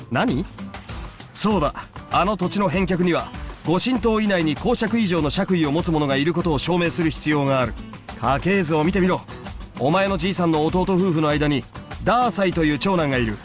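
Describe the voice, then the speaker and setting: A male actor as an efficient, irritable aide in a Japanese game. His fairly high voice is clipped and businesslike, deadpan even when grumbling, with music underneath. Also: lightly acted. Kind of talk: Japanese video game voice acting.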